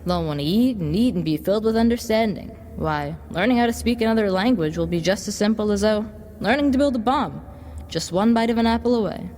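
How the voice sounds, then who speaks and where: A woman playing a smooth-tongued devil boy in an audio drama, coaxing like a salesman and offering tempting gifts. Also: mid-range voice, animated, brisk, a little mellow, close to an everyday voice. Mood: grandiose. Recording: long reverb, background noise.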